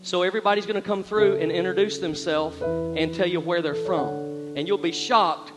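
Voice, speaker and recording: A man's voice: sits high, fairly even intonation, moderate pace, over loud music. A man, hosting people on stage at church in an upbeat, coaxing way. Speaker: pastor. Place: large church hall with live band and congregation. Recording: hall reverb, loud band and crowd.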